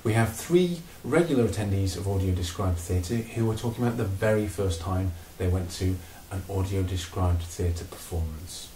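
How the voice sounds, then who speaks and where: Man presenter, low voice, echoey room, mic set back, plain explanatory intro. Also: normal speed, hardly any pauses, warm tone, far from the mic, a little hiss or hum. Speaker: theatre programme organiser introducing a video. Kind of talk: scripted introduction to camera.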